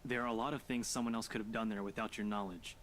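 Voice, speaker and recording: A man voicing a skeptical, rational young man in a visual novel game, speaking in a calm, matter-of-fact and dismissive way. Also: fairly low pitch, fast talker, slight background noise, close to an everyday voice.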